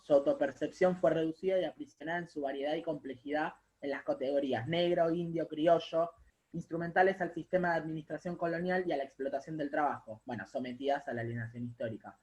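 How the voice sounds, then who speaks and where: A man's voice: on the high side, fast talker. A young man with an Argentine accent, a student speaking up in a class discussion. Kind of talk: class discussion, partly reading aloud.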